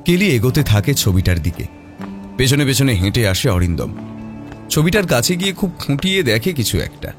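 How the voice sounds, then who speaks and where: A man's voice: medium pitch, sing-song, brisk. A man narrating a horror mystery story in a dramatic, suspenseful, theatrical way. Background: prominent background music.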